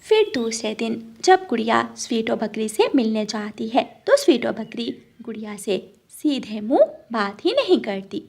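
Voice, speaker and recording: A woman's voice: a little high, big pitch swings, unhurried, gravelly, hardly any pauses, darkish tone. A woman narrator reading a scripted moral story for children.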